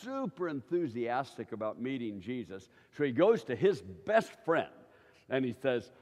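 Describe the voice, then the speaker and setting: A man's voice: mid-range voice, very animated, measured pace, darkish tone. Chatty older man preaching in a hall. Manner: chatty, keeps checking in with listeners. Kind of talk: conversational church teaching. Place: church hall. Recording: long reverb of a church hall.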